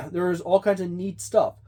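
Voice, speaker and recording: A man's voice: high voice, fairly even intonation, slow pace, a little mellow. A man giving an unscripted review of a tabletop game book, meandering and thinking aloud as he goes.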